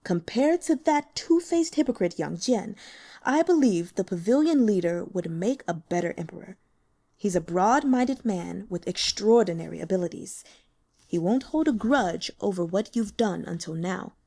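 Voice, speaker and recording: A woman voicing a smooth-talking older recruiter. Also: mid-range voice, animated, normal speed, warm tone. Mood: coaxing. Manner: coaxing, persuasive. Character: smooth, persuasive older male sect elder.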